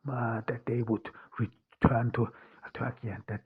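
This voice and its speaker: Austrian guy, german accent, husky flat voice, stops and restarts a lot, dull lo-fi sound. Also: medium pitch. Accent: German-speaking Austrian. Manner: halting, many fillers and restarts. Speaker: podcast host. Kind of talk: solo reflective podcast monologue. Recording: dull lo-fi podcast recording.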